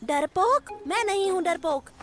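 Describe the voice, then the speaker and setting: A woman voicing a brave, excitable young animal cub in a children's cartoon, eager and exclamatory. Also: very high voice, measured pace, full character voice. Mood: bold, wide-eyed. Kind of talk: Hindi-dubbed children's cartoon acting. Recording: loud music under the voice.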